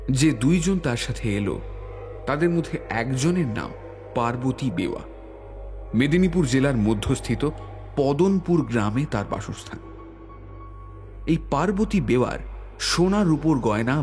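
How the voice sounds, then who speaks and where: A man's voice: mid-range voice, a little mellow. Man narrating a detective story over music. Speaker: narrator and police inspector character. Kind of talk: dramatic scripted story narration. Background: background music.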